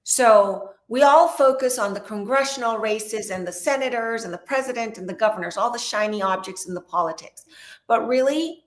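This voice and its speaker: A middle-aged woman from Venezuela, a podcast guest explaining things in an earnest, measured way. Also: a little high. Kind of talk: podcast interview. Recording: echoey room.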